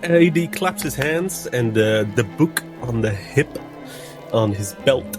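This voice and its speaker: A man's voice: mid-range voice, animated, slow. Formal, theatrical man roleplaying over music. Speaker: tabletop game player. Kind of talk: in-character roleplay mixed with improvised narration. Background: music under the voice.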